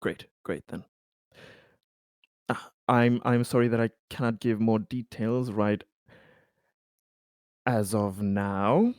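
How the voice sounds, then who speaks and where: A man plays a cautious, diplomatic male colony businessman in a tabletop roleplay. The voice is fairly low and very unhurried, with a dry, close sound. He negotiates in a deliberate, formal manner, halting and repeating himself. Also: darkish tone, no echo. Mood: polite.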